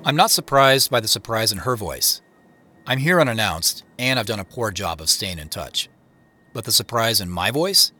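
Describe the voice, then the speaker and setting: A man's voice: fairly low pitch, expressive, normal speed, sharp tone, a little hiss or hum. An actor playing a reflective man narrating his own story in a first-person audio drama. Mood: wry, surprised. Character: Joseph, reflective male narrator returning to old home.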